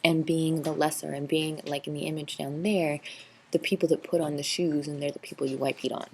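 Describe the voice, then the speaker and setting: A woman's voice: deep voice, level tone, fairly quick, a little hiss or hum. A woman teacher narrating a slideshow review lecture, explaining steadily with frequent ums and uhs. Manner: explanatory, filler-heavy with frequent um and uh. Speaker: history teacher.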